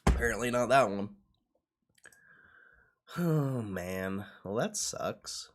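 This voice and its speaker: Young male streamer, unhurried delivery with big pitch swings, casual and slangy, repeats himself, swears freely. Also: lively intonation. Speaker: livestreamer. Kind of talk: casual livestream chat, reacting to what is on screen.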